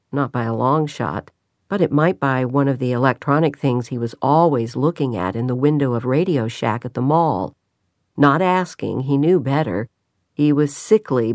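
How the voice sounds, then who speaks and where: A woman narrating a novel in an even, measured way, with quiet dialogue parts. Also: rumbling low, no echo, clean studio sound. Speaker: audiobook narrator. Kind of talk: scripted narration.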